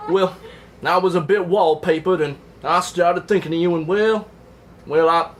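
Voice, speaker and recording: A man's voice: sits high, fairly even intonation, moderate pace, a little hiss or hum, close to an everyday voice. A man playing a lewd, boastful Confederate soldier in a comic reading of letters home, with a folksy, florid manner of speech. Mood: earnest confession. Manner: folksy, old-timey, florid. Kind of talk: comedic live reading of love letters.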